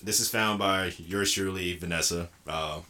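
A man in his twenties co-hosting a casual podcast chat, telling stories animatedly and laughing easily. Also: low voice. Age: 20s. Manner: animated storyteller, quick to laugh. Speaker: podcast co-host. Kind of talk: casual chat among friends.

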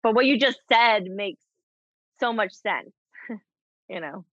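A woman in her thirties talking candidly as a guest, halting with many restarts and frequent laughs. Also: mid-range voice, animated, a bit bright, no room sound, lo-fi sound. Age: 30s. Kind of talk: candid conversation.

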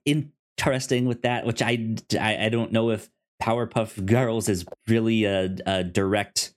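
Slow, halting man chatting casually. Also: fairly low pitch, fairly even intonation. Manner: halting, stammering, repeats word starts. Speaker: film podcast co-host. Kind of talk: casual, unscripted recommendations.

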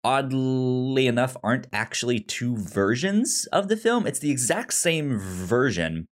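A man co-hosting a film podcast in casual discussion, halting and stuttering, often repeating sounds and words. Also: medium pitch, sing-song. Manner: halting, hesitant delivery.

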